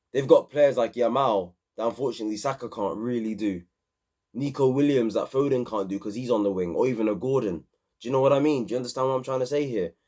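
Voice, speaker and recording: A young man hosting an excited, slangy football match review, a bit hoarse from screaming. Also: medium pitch. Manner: animated, repetitive, slangy. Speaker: football channel host.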